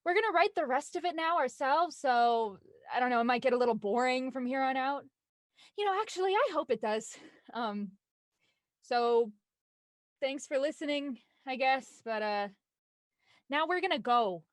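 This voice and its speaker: A woman's voice: high voice, lively intonation, normal speed, no room sound, clean studio sound. A woman playing an anxious twenty-four-year-old writer finding her nerve, in a naturalistic, hesitant audio drama performance. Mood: hesitant, sincere. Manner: hesitant, earnest.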